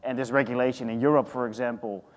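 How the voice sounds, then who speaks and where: A man in his thirties with a Dutch accent, speaking as a panelist in an animated way, often restarting his sentences. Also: medium pitch, fast. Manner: animated, thinking aloud with restarts. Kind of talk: panel discussion. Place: large conference hall. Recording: reverberant hall.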